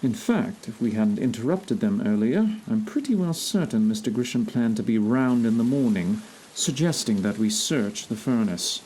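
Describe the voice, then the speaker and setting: Man acting gentleman detective, medium pitch, formal, dry wit, commanding, audio theatre, slight noise. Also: animated, normal speed, natural voice, poor audio. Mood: assured deduction. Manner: crisp, commanding, wry, formal.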